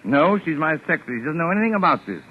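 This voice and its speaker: An actor playing a polite, fussy, wry gentleman writer in 1940s radio style, hesitant yet excitable. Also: on the high side, racing. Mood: alarmed, indignant. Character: flustered, wry, well-mannered gentleman mystery writer. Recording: tinny, noisy old radio broadcast.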